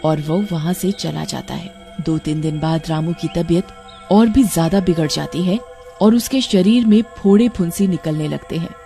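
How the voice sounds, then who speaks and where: A woman giving scripted storytelling narration for a cartoon, in a mellow voice. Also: on the low side, normal speed, gravelly, soft background music.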